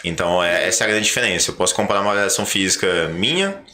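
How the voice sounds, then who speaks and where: Podcast host, male, Brazilian accent, lowish bright voice, conversational explainer, slight ambient noise. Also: expressive, very fast.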